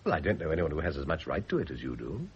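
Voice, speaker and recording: Smooth dodgy gentleman in an old radio play, low voice, dry and wry, muffled hissy sound. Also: very animated, rapid-fire, heavily acted. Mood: gentle, coaxing. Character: urbane, evasive widower, dryly deflecting a woman's advances. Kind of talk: classic radio drama acting. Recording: dull old radio recording, faint hiss.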